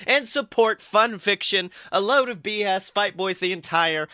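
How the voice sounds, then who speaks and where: Man, podcast host, higher-pitched bright voice, close dry sound, jokey and theatrical. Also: measured pace, smooth, no echo. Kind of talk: casual comedic podcast chat.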